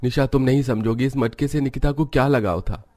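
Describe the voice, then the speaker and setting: A man's voice: mid-range voice, a little fast, dark tone, natural voice. An actor playing a family man in a scripted cartoon moral story. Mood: consoling.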